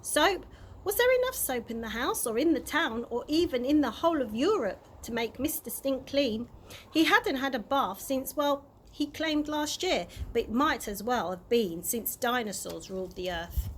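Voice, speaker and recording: A woman narrating a children's chapter book aloud in an expressive storytime style. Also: high-pitched, moderate pace. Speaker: early years practitioner reading a story. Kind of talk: animated children's audiobook narration. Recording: clear background noise.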